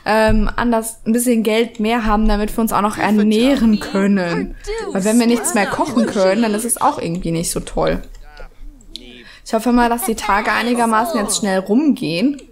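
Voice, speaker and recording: A woman's voice: fairly high pitch, normal speed, crowd noise. A woman with a cold chatting casually through her gameplay, rambling with many fillers at a desk microphone. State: has a cold. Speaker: let's play host.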